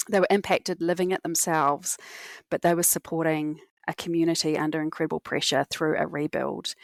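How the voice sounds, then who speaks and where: A woman's voice: sits low, no room sound, studio quality. A woman guest on a podcast interview, telling a story in an earnest, reflective way. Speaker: guest expert.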